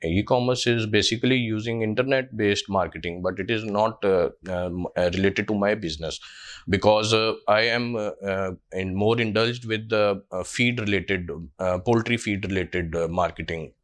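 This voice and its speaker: A man's voice: lowish voice, moderate pace. A man in his twenties with a Pakistani English accent, answering a job interview panel hesitantly with many fillers and restarts. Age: 20s. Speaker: job candidate.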